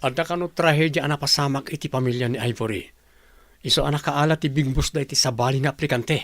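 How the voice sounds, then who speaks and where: A man's voice: mid-range voice, quick pace, no room sound, close to an everyday voice. A man playing the boss's earnest friend, persuading him in a radio-style drama. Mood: explaining.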